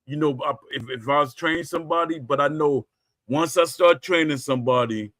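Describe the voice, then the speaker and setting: A man's voice: on the high side, fairly quick, fairly bright tone. A middle-aged man hosting a podcast, telling stories in a casual, rambling way, often restarting and correcting himself, and reading out live chat comments. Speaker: podcast host. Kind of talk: casual livestream storytelling chat.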